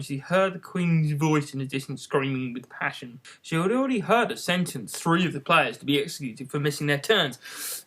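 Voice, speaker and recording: Expressive storybook reader, lively pitch. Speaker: audiobook reader.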